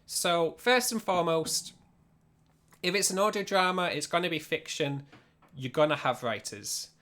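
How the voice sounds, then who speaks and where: A man's voice: a little high, normal speed, sharp tone. A man with a Yorkshire accent giving an informal, chatty livestream workshop, explaining things as he goes and repeating words for emphasis. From Yorkshire, England. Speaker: streamer teaching audio drama production.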